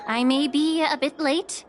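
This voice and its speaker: A woman voicing a composed, wry master healer of high rank in a video game, poised and sardonic. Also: vocal fry, full character voice.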